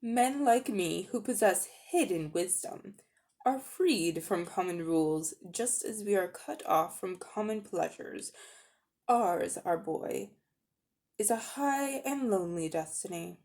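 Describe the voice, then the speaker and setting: A woman's voice: medium pitch, animated, slow, darkish tone. Woman playing pompous, sly older uncle, swooping delivery. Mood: lofty, self-justifying. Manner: grandiose, condescending, chuckling.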